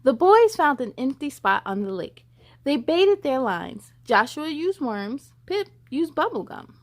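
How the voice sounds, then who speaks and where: A woman's voice: sits high, big pitch swings, measured pace. A woman hosting children's story time, reading expressively and dramatically and chatting directly to young viewers.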